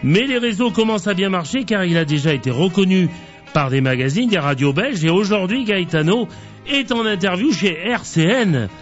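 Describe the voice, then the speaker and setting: A man hosting a radio interview, talking slowly with stumbles and repeated words. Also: high-pitched, lively intonation, highs cut off, soft background music. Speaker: radio host.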